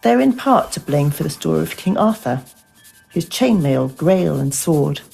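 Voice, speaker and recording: Woman narrator for poetic audio piece: very creaky, husky, deliberate. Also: low-pitched, very animated, dark tone, faint music behind, rough recording. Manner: measured, deliberate delivery.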